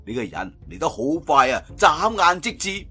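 A man's voice: a little high, big pitch swings, moderate pace, pushed and bright, soft background music. A man with a Cantonese accent narrating a martial arts tale in a theatrical, colloquial storytelling style. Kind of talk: dramatic scripted wuxia story narration.

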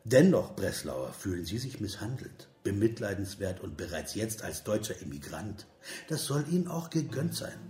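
An actor in a radio play voicing a bitterly sarcastic political cynic of 1930s Germany, with a rounded, rough voice and biting, declamatory delivery. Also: male voice, fairly low pitch, sing-song, moderate pace, a little mellow. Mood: bitterly serious. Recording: roomy echo, music behind.

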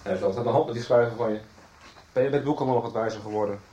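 An actor in a radio soap playing a chatty, conspiratorial library worker with a husky voice and halting, repetitive delivery. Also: male voice, on the low side, mellow, dull audio, a little hiss or hum, close to an everyday voice. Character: chatty, conspiratorial male library worker poring over records. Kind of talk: 1990s radio soap acting.

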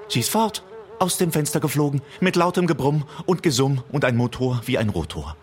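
A man's voice: medium pitch, lively intonation, a little fast, husky. An actor playing a timid, wistful man in a comic radio play, deferential, hesitant and earnest. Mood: anxious, wistful.

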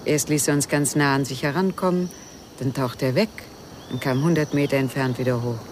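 An actress playing a guarded, wistful widow who speaks evasively and intimately in a German radio crime drama. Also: female voice, extremely low pitch, a little fast, darkish tone, a little hiss or hum. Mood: reminiscing, amused.

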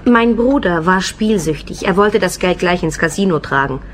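A woman's voice: on the low side, expressive, moderate pace, a little hiss or hum, lightly acted. An actress playing a brazen, mercenary call girl in a radio play, cool, cheeky and matter-of-fact.

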